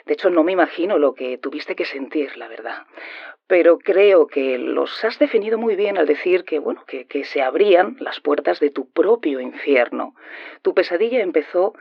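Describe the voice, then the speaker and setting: Husky higher male voice, sympathetic interviewer played by a male actor, gently probing, dry sound. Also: animated, moderate pace, no room sound, highs cut off. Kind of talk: scripted audio-drama interview.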